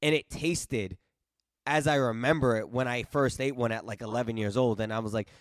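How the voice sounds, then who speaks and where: Host, late 20s male, eager casual talker with many restarts; mid pitch, dry recording. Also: fairly quick, dry recording. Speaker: podcast host. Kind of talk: casual interview chat. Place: East Coast home, remote video call.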